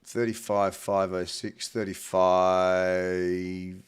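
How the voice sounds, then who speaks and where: A man's voice: low voice, level tone, very slow, clean tone. A man hosts a casual market rundown in an informal, colloquial way. His voice is a little nasal from a head cold, and he talks like a trader chatting with mates. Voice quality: slightly nasal. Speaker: host, crypto trading analyst. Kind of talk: casual market commentary to camera.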